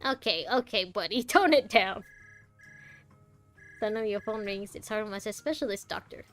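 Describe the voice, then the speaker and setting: A woman's voice: medium pitch, lively intonation, normal speed, fairly bright tone. Chatty woman streamer over faint game music. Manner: chatty, reacting aloud to the characters. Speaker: gaming streamer. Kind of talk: casual playthrough commentary.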